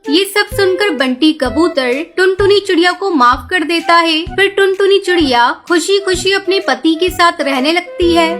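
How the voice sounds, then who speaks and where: A woman's voice: extremely high pitch, normal speed, hardly any pauses, fairly bright tone. A woman reading scripted narration for a cartoon story, as its narrator. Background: music.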